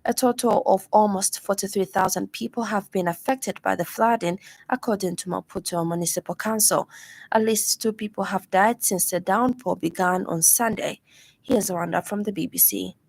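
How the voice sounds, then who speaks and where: A woman's voice: mid-range voice, vocal fry, darkish tone. Woman reading the radio news. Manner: measured, formal newsreading. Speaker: news reader. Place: radio studio.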